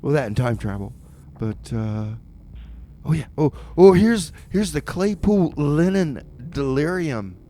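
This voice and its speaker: Joking male community radio DJ, higher-pitched voice. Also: sing-song, dark tone, slight background noise. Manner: joking, tongue-in-cheek; halting, repeats words. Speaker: community radio music show host. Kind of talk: casual rambling DJ chat.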